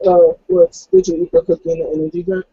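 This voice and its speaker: A man's voice: high-pitched, big pitch swings, fast talker, vocal fry, warm tone, slightly rough. A man playing a tabletop role-playing game, casually and somewhat hesitantly describing his character's actions on a lo-fi microphone. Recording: close, dull lo-fi microphone.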